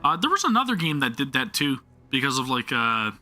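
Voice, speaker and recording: A man's voice: medium pitch, big pitch swings, brisk, pushed and bright, soft background music. A young man chatting through a live game stream, self-deprecating and often restarting his sentences. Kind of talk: live gameplay commentary.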